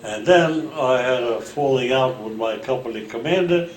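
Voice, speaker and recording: Roomy-sounding New man in his eighties. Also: mid-range voice. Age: 80s. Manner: rambling, halting storyteller with many restarts. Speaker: World War Two veteran recounting family history. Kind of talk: casual oral history interview. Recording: roomy home recording with faint hiss.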